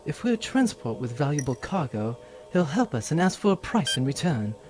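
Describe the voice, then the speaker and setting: A woman's voice: sits low, very animated, a little fast, music in the background. A woman playing a proud, curt, cynical rogue space captain in a sci-fi audio drama. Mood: cold, firm. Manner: curt, disdainful.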